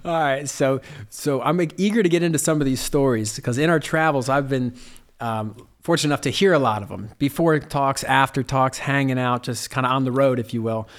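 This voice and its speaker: A man's voice: medium pitch, brisk. A man hosting a podcast, asking questions in a loose, admiring way with plenty of fillers and restarts. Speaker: podcast host. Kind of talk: casual interview chat.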